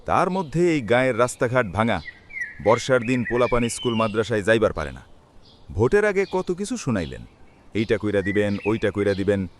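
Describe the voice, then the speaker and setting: A man's voice: on the low side, very animated, brisk, a little hiss or hum. An actor playing a sceptical, outspoken poor village man in a cartoon, complaining emphatically in a rural Bangladeshi accent. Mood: aggrieved. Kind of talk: cartoon voice acting.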